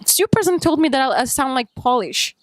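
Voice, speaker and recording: A woman with a high, light voice and a Brazilian Portuguese accent asks her questions chattily, now and then stumbling as she hunts for the English word. She is heard close and dry, with no echo at all. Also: lively intonation, fairly quick. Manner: chatty, searching for English words. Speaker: interviewer. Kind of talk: casual interview questions.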